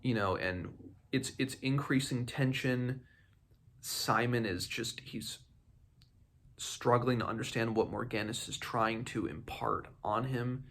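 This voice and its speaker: A man hosting a book read-along, talking casually and haltingly as he thinks aloud. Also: medium pitch, a bit bright.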